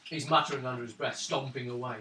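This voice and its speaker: Guy running a tabletop game, bright voice, echoey room with faint hiss. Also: mid-range voice, steady tone, quick pace. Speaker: game master. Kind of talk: improvised tabletop game narration and dialogue. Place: room around a gaming table. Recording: roomy, distant mic, faint noise.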